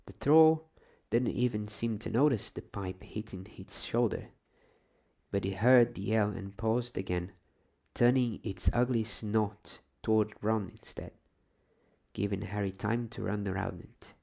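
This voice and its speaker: A man reading a story aloud in a soft, hushed, breathy ASMR style, slipping at times into whispers. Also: lowish voice, a little slow.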